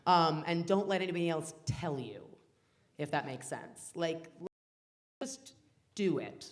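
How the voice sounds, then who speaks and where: A woman in her thirties, a film director talking casually on a festival panel, rambling with many fillers and restarts and animated quoting. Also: deep voice, a little slow. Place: festival cafe stage.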